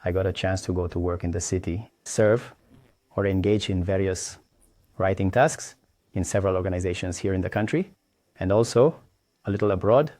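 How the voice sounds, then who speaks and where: A man with a very low, warm, mellow voice explains his engineering work at an unhurried pace. He pauses often and restarts his phrases. The recording is dry and close. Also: no echo. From Tanzania.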